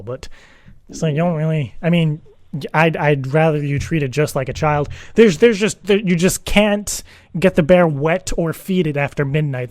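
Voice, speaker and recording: A man playing an earnest, anxious divorced dad in an improvised prank phone call, rambling and repeating himself haltingly. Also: a little high, darkish tone, no room sound, clean studio sound, lightly acted. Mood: earnest, explaining. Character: earnest, anxious divorced dad seeking a teddy-bear sitter. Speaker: prank caller.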